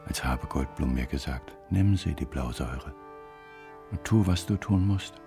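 An actor playing a brooding male poisoner who coldly and deliberately recounts his revenge in a radio drama monologue. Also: rumbling low, animated, gravelly, full character voice. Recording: music bed under the voice.

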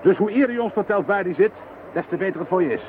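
An actor playing a headstrong, insistent chief police inspector in a radio drama. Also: male voice, high-pitched, expressive, quick pace. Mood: stern, pressing. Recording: tinny, band-limited, hissy radio play recording.

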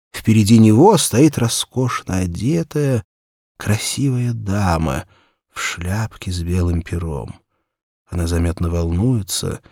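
A man with a deep, husky voice narrates a literary tale for children. He reads slowly and expressively, shaping phrases with dramatic care and varied emphasis. The sound is dry and close, with no room around it. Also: darkish tone, no echo. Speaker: audiobook narrator. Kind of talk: expressive literary storytelling for children, scripted first-person narration.

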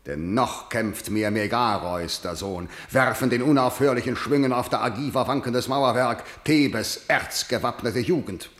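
An actor playing a proud, harsh middle-aged tyrant in a verse radio drama, declaiming imperiously. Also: male voice, mid-range voice, unhurried, few pauses, a bit bright, echoey room, natural voice. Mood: stern, bitter.

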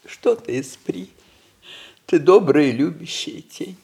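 An actress playing a gentle, dutiful grown daughter in a radio play, quietly reflective. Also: female voice, low-pitched, animated, slow, vocal fry, heavily acted. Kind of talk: Russian radio play acting.

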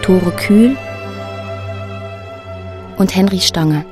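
Slow, measured woman announcer over music. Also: mid-range voice, a little mellow. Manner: measured, plain listing. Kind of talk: scripted radio drama credits reading. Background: sad violin music.

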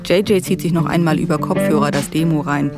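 Male radio narrator, higher-pitched voice, scripted read with music underneath. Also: steady tone, a little fast.

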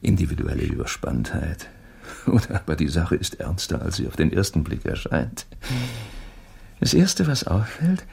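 An actor playing a keen, self-assured male detective in a German radio drama, deliberate and musing as he questions and deduces. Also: a bass voice, huge pitch swings, gravelly, darkish tone, husky, exaggerated voice.

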